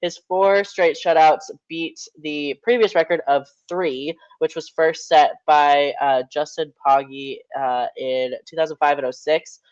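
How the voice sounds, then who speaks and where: A man's voice: fairly high pitch, steady tone, a little slow, hardly any pauses, smooth, dry recording, dull audio. Congested nasal young man hosting. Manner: rambling, self-correcting with fillers. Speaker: podcast host. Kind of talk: casual podcast chat reading stats.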